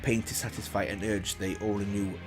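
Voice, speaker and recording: A man's voice: lowish voice, level tone, brisk, poor audio. A man reading a text aloud as a reaction video host, breaking off for casual comments of his own. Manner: casual asides while reading. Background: loud background music.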